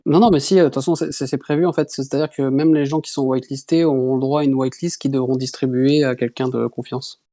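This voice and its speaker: A man's voice: medium pitch, fairly even intonation, fast talker, few pauses, dark tone, no echo, studio quality. A man, founder of a small online project, talking in casual voice chat with lots of fillers and restarts. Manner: hesitant, many fillers and restarts. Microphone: computer mic on a voice chat.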